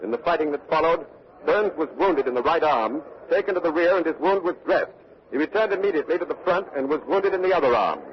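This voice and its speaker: A man's voice: a little high, lively intonation, quick pace, natural voice. An actor playing an earnest male war correspondent, formal and urgent, in a 1940s radio news dramatization. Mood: probing. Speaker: radio reporter and interviewer. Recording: dull vintage radio broadcast recording.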